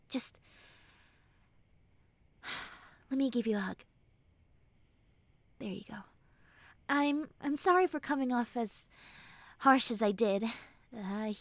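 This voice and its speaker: Casting: female performer as tsundere young woman, high voice, unhurried and halting, prickly yet tender, intimate roleplay audio. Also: no echo, full character voice. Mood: concerned, softening. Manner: halting, sighing, informal delivery. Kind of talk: roleplay audio acting addressed to the listener.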